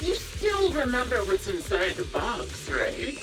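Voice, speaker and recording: A cruel sniper villainess from a video game, who savours every word. Her voice swings widely and sits fairly high, mocking and theatrical, over loud music. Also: wildly swooping pitch, distant mic. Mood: gleeful cruelty. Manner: theatrical, mocking, drawn-out teasing delivery. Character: taunting sadistic female sniper villain, gleefully cruel.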